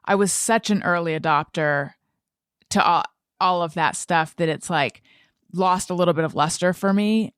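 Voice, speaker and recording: A woman's voice: lowish voice, moderate pace, clean tone, dry recording, clean studio sound. A woman podcast host chatting casually in a rambling, self-deprecating way, with "like" sprinkled throughout. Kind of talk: casual chat.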